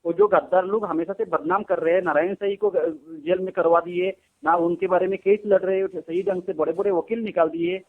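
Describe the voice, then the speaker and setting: A man's voice: high voice, steady tone, a little fast, few pauses. A man, a native Gujarati speaker, in a heated phone argument, scolding and insistently repeating his challenges and questions. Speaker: devotee of a guru, arguing on a phone call. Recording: recorded phone call.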